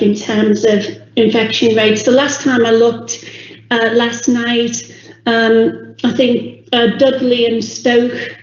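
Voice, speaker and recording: A woman from a public health team briefing an online council meeting, speaking in a measured way with many ums while losing her voice. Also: on the high side. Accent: English. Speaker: public health officer presenting. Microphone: video call. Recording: echoey, dull video call audio.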